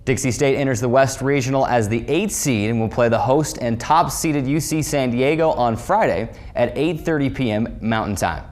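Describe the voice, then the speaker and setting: A man's voice: mid-range voice, normal speed, hardly any pauses, a little mellow. Male sportscaster reading a scripted recap. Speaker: sports show host and play-by-play voice.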